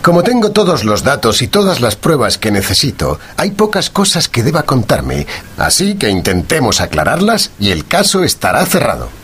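Husky, commanding male detective. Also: mid-range voice, huge pitch swings, fairly bright tone, exaggerated voice. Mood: stern, sardonic. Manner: commanding, measured, sardonic. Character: stern, sardonic male detective questioning a culprit. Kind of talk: radio drama acting.

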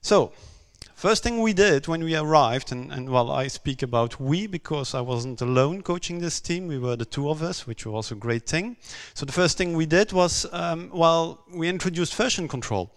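A man from Belgium, for whom English is not his first language, giving a conference talk on a stage with many pauses, "um"s and repeated words. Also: fairly high pitch, animated. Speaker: conference speaker.

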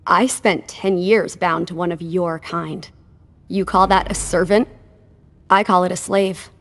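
An actress voicing a defiant, world-weary former servant of a vampire in a video game. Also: female voice, on the low side, steady tone, unhurried. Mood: direct, defiant. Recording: long reverb.

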